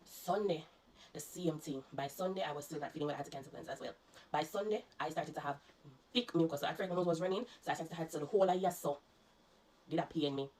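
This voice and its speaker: A woman's voice: sits low, quick pace, a little mellow, rough recording. A Jamaican woman vlogger, congested and coughing, earnestly recounting her illness to her viewers and warning them. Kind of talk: personal storytelling to viewers.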